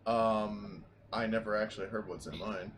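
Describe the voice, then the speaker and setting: A man's voice: lowish voice, steady tone, normal speed, fairly bright tone, a little hiss or hum. A man chatting informally as a player in a tabletop game, given to swearing. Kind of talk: casual game chat.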